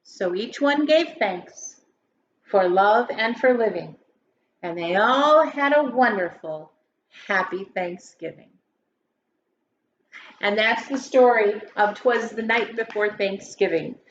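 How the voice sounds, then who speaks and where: A woman's voice: mid-range voice, animated, slow pace. A woman reading a children's story aloud like a grade school teacher, with theatrical, emphatic delivery. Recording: roomy echo, dull sound, faint noise.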